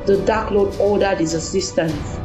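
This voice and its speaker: A woman narrating an audio drama in a plain, steady storytelling manner. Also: medium pitch, moderate pace. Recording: echoey room with music.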